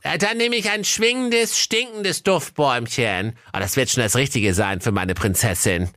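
Boastful bumbling young suitor. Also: male voice, medium pitch, normal speed, pushed and bright, dry recording, studio quality, pitched above the performer's own voice. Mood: delighted. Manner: boastful, stammering. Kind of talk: comic fairy-tale reading.

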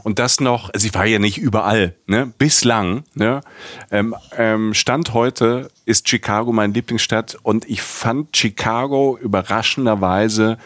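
A man's voice: fairly low pitch, unhurried, a bit bright, no room sound, clean studio sound. A man co-hosting a casual travel chat, talking enthusiastically and rambling with many restarts and fillers. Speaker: podcast co-host.

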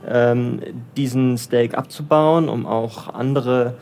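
A man's voice: medium pitch, fairly even intonation, slow pace, a little mellow, a little hiss or hum. A man from Germany, raised in Bavaria, talks through his career in an easygoing interview. He hesitates often and restarts sentences, filling gaps as he thinks aloud. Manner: hesitant, many fillers and restarts. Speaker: interviewee, development finance professional in Lagos. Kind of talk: casual interview answers.

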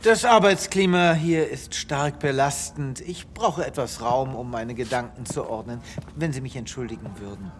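An actor playing a melodramatic, self-pitying animal doctor in an audio drama, speaking theatrically. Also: male voice, mid-range voice, moderate pace, hardly any pauses, a little hiss or hum, lightly acted. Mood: offended. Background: music.

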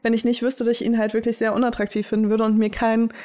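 A woman's voice: on the high side, completely flat delivery, fast, mellow. A young woman chatting casually as a podcast co-host, often laughing. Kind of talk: casual chat.

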